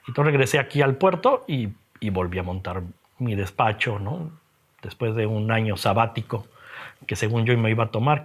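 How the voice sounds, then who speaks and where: Middle-aged man, Mexican accent, deepish husky voice, reflective interview talk, halting phrasing. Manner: reflective, halting, frequent tag questions. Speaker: interviewed graphic designer and teacher.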